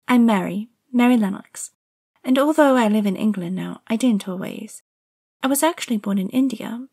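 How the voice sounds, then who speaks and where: A woman's voice: fairly high pitch, dark tone, husky. An actress voicing a lonely, sullen young girl who narrates her own story in an audio drama. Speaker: narrator and actor.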